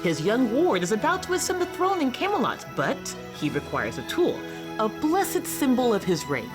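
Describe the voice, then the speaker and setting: Actress as regal smith goddess, proud, very animated swooping intonation, stately radio play delivery, clear music behind. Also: female voice, on the high side, normal speed. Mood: grand, proud. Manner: declamatory, stately, self-assured. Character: proud regal fae goddess of fire and craft.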